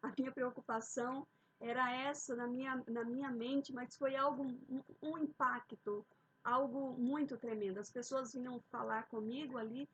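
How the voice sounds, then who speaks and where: A woman preaching a sermon, opening with a fervent prayer and often addressing her listeners warmly. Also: high voice, fairly even intonation, normal speed, slightly rough, lo-fi sound. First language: Portuguese. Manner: fervent, repeated "queridos" and "ó Pai".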